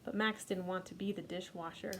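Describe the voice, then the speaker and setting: A woman librarian leading a children's storytime, reading aloud gently and unhurriedly with a few fillers. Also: on the low side, fairly even intonation, brisk.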